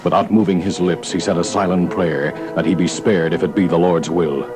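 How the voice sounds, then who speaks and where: A man's voice: low voice, steady tone, a little fast. An American man narrating a vintage radio adventure in a formal, emphatic, theatrical style. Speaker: announcer and narrator. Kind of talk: dramatic 1940s-50s radio narration. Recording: old radio recording with loud music. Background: loud music.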